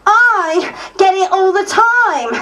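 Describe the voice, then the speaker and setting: A woman playing an angry, accusing female heckler at a meeting, loud and insistent, in a stage dramatisation. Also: extremely high pitch, slow pace, slight background noise, full character voice. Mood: outraged.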